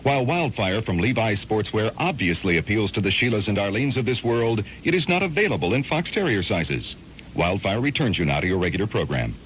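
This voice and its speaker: A man reading a formal, deadpan mock-official radio commercial announcement on an old rumbly aircheck. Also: a little fast, background noise.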